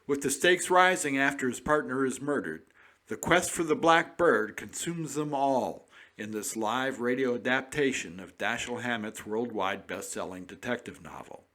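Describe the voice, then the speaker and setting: A man's voice: mid-range voice, normal speed, bright, forceful tone. A man reading scripted promotional narration as an announcer.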